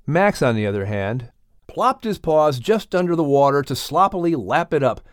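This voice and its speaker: An American man narrating and announcing scripted children's stories in a theatrical, expressive way. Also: fairly high pitch, normal speed, no room sound, studio quality. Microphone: close studio microphone.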